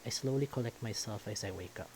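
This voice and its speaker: A man's voice: on the low side, fast talker, background noise. A man reading a visual novel aloud as first-person story narration.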